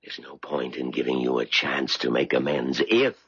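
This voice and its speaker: An actor playing a mysterious, all-knowing male stranger, gravelly and deliberate, probing insistently in a 1970s radio drama. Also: rumbling low, little pitch movement, fairly bright tone, dry recording, exaggerated voice. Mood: stern. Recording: dull vintage radio broadcast recording.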